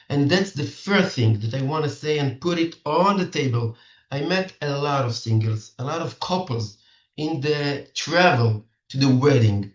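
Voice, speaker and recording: A middle-aged man with an Israeli accent gives an earnest, halting lesson to parents and often restarts his sentences. Hebrew is his first language, so his English phrasing is careful. He sounds distant and roomy on a muffled video call. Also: mid-range voice. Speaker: dating coach for singles.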